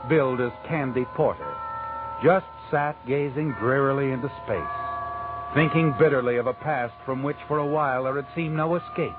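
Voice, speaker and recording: An actor playing an ominous, all-knowing mystery narrator with an American accent, sinister and insinuating in 1940s radio style. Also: male voice, medium pitch, normal speed, music in the background, lightly acted. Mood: brooding. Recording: vintage radio recording with narrow band.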